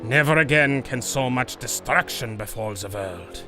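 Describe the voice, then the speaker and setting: A man's voice: mid-range voice, a little slow, over music, natural voice. A man playing a grandiose, vengeful baron, theatrical and menacing, in a pulp adventure drama.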